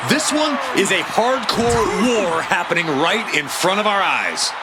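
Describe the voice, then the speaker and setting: Male wrestling commentator, high pitch, emphatic delivery, excited, very noisy arena backdrop. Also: measured pace. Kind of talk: sports commentary in a wrestling video game. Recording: loud arena crowd noise behind. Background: roaring crowd, thuds of a match.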